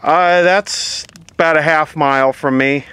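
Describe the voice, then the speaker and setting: A man's voice: on the high side, steady tone, measured pace. An American man chatting casually outdoors in a plainspoken, wry way. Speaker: vlogger testing a vintage CB radio. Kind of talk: casual chat. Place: outdoors at a table. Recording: faint background noise.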